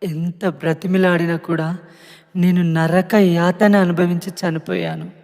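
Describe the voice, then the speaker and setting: The spirit of a murdered young woman, voiced by a man, mourning and pleading with her family. The voice sits fairly high and the words come very slowly. A long reverb trails behind every phrase. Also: flat delivery, a little mellow. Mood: anguished, pleading. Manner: pleading, sorrowful address to family. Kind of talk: emotional monologue.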